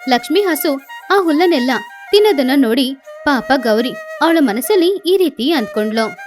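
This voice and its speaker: A woman's voice: high-pitched, expressive, moderate pace, music in the background. A woman narrating a scripted children's moral story as the storyteller.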